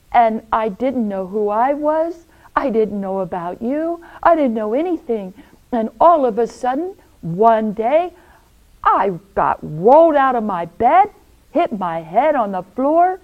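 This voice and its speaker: A woman's voice: on the high side, dull audio. A woman voicing an earnest, apologetic man returning to his sweetheart in a children's story.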